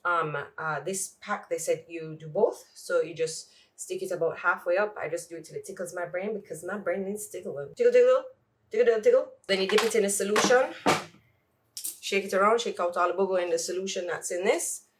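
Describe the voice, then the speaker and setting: Woman, stuffy nose, rambly jokey closet vlog, kinda low voice, mic far away. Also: a little fast, slight background noise. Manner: rambling, joking, self-talk asides. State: sniffly, congested nose. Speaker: vlogger. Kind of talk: casual chatty vlog while doing makeup. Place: home closet.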